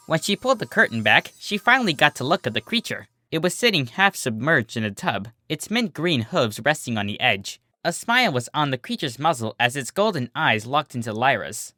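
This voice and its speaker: A narrator reading a scripted story in an animated storytelling style. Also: medium pitch, no echo. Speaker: narrator, fan fiction reader.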